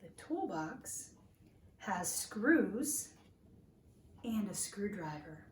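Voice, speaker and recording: A woman reading a children's story aloud like a teacher, with deliberate, simple phrasing for small children. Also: mid-range voice, sing-song, a little mellow. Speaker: teacher reading a picture book to young children. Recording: roomy echo, distant mic, faint noise.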